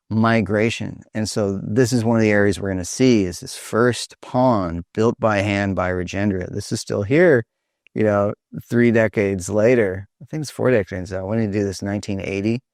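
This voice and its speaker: A man hosting an informal online presentation, speaking in an earnest, halting way over a video call. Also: fairly low pitch, steady tone, creaky, darkish tone, no room sound, studio quality.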